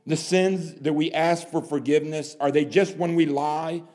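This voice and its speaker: A man preaching a sermon, dramatic, swinging from hushed lines to loud emphasis and asking rhetorical questions. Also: a little high, fairly even intonation, moderate pace. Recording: long hall reverb.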